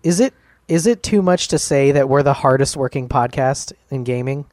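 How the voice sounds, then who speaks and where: A man's voice: medium pitch, expressive, moderate pace, darkish tone, no room sound. A man co-hosting a gaming podcast in casual chat, upbeat and full of "like" and "um" fillers. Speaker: podcast co-host.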